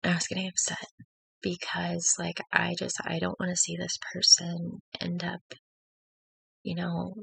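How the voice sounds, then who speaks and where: A woman just up from sleep speaks with a gravelly, husky voice that barely rises or falls. She muddles through her thoughts hesitantly, circling back with fillers. The sound is close and dry, as from a laptop at home. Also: lowish voice, completely flat delivery, unhurried, pushed and bright, no echo, low-quality recording. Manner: hesitant and reflective, many fillers and restarts. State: just woken up. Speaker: faith vlogger. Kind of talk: personal confessional talk to camera.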